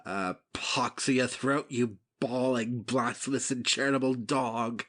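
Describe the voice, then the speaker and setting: A man's voice: medium pitch, steady tone, unhurried, fairly bright tone, natural voice. A man playing a surly, foul-tempered nobleman who speaks scornfully in an audiobook drama. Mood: irritated, scornful.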